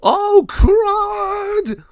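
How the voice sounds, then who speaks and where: A man voicing a rowdy, mocking gargoyle henchman in a storybook, loud, goofy and exclaiming. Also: extremely high pitch, wildly swooping pitch, takes it slowly, dry recording, clean studio sound, voice pushed up, full character voice. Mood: panicked.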